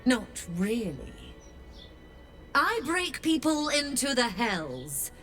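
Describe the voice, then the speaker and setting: A shrewd dealer who trades with the Hells in a fantasy game. Her delivery is slow, theatrical and imperious, with lively rises and falls, over faint music. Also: on the high side, exaggerated voice. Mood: proud, intrigued. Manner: measured, theatrical, haughty. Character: shrewd, imperious infernal merchant woman, latchkeeper of Hells. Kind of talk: video game character acting.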